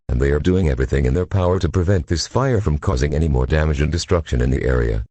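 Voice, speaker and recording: A man playing a serious local news anchor, reading a scripted report with a husky voice and sober, formal delivery. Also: extremely low pitch, warm tone, no room sound, heavily acted.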